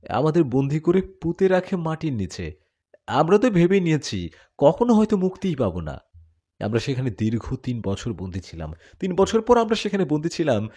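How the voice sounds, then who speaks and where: Devoted warrior jinn acted by a male storyteller, earnest and confessional, mid-range, very expressive melody. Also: medium pitch.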